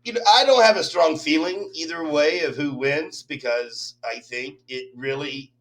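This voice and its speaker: A man co-hosting a fantasy football podcast, chatting casually and thinking aloud with pauses. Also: a little high, fairly bright tone, poor audio. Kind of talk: casual chat.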